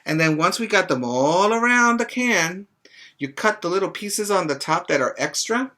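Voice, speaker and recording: A middle-aged man, a preschool teacher, reading and explaining to young children in a simple, expressive way with short asides. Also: high-pitched, normal speed. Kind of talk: reading a children's book aloud and chatting to young pupils. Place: small room, indoors. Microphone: webcam or laptop mic at arm's length.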